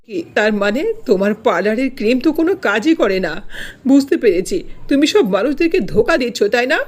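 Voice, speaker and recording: A woman's voice: on the high side, animated, moderate pace. A woman voicing a jealous, scheming village headman in a dramatic cartoon moral tale. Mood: accusing. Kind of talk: dubbed cartoon dialogue.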